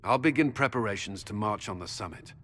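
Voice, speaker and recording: An actor playing a stern, wary military commander in a fantasy video game, firm and duty-bound. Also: male voice, fairly low pitch, animated, fairly quick, fairly bright tone. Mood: resolute. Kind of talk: video game dialogue acting. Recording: echoey stone hall, faint background noise.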